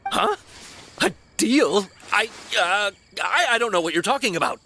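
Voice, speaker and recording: Nervous shifty young man, stammering, animated swooping pitch, high voice, video game dialogue. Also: normal speed, a little hiss or hum, full character voice. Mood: startled, evasive. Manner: stammering, flustered, pleading.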